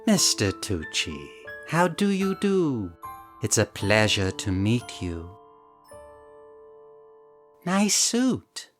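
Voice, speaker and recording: A man playing a theatrical villain who speaks with slow, formal, menacing courtesy in a comedy audio drama. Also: mid-range voice, huge pitch swings, soft background music, full character voice. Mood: mock courtesy. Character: theatrical villain presiding over captives with mock courtesy.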